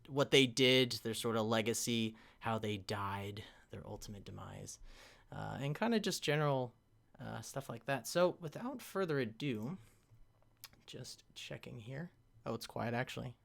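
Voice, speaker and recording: A man's voice: medium pitch, vocal fry, a bit bright. A man hosting a casual live-streamed convention panel. He rambles loosely, with lots of fillers and restarts, and stops to check his mic and the chat.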